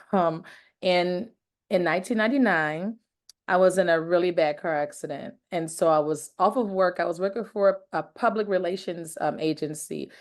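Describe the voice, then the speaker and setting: A woman from Michigan speaks as a podcast guest with a fairly low voice. She tells her story in a friendly, easygoing way. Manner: friendly, laughs lightly while telling her story. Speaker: interview guest, child care owner. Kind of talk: casual podcast interview.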